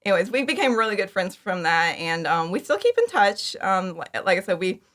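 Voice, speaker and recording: A woman's voice: medium pitch, very animated, a little fast, fairly bright tone. A woman talking casually and informally as an interview guest, chatty and prone to acting out her own remarks. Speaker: podcast guest, fan audio drama creator.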